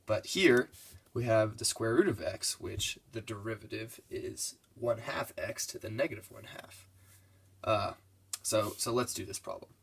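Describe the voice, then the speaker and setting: A man's voice: fairly low pitch, unhurried, gravelly, breathy edge. A congested young man giving a casual, laid-back math lesson over his worked problems. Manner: laid-back, loose and casual, with many fillers.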